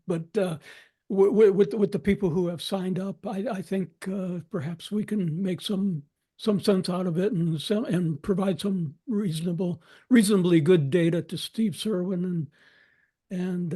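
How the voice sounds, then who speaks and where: High-pitched, halting man, mellow tone. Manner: halting, hesitant. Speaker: meeting participant giving an update. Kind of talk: informal spoken report. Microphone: teleconference connection.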